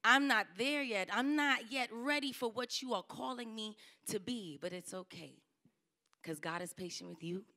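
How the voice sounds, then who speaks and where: A woman's voice: a little high, moderate pace. A young woman preaching a trial sermon at a lectern into a handheld mic, earnest, her emphasis rising and falling. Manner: earnest, rising and falling emphasis, softening to a near whisper at the close. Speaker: licentiate preacher. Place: church stage, indoor. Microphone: handheld microphone at a lectern.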